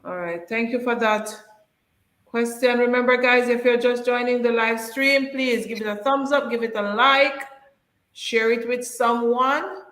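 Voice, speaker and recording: A woman co-hosting a casual live stream, with a slightly raised pitch, reading out viewer questions and urging viewers to subscribe. The room gives her voice an echoey ring. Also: steady tone, measured pace, fairly bright tone. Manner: reads out viewer comments and urges viewers to subscribe.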